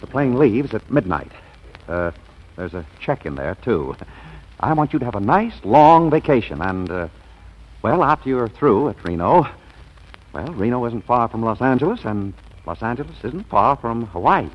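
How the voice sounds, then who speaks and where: An actor playing an exasperated, blustery husband who stammers when flustered, in 1940s radio style with a husky voice. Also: male voice, mid-range voice, very animated, normal speed. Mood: awkward, conciliatory. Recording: dull vintage radio recording.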